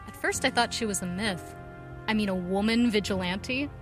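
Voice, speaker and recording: A woman's voice: medium pitch, normal speed, close to an everyday voice. A woman acting a bold, earnest, headstrong young vigilante in a pulp audio drama. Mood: admiring. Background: music.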